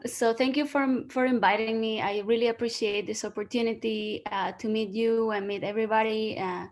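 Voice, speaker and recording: A woman's voice: mid-range voice, creaky. A woman from Spain with a Spanish accent, giving an online science lecture as a guest speaker, explaining step by step with frequent fillers and restarts. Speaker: guest lecturer, soil ecologist. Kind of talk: online presentation. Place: home or office, video call. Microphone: video call.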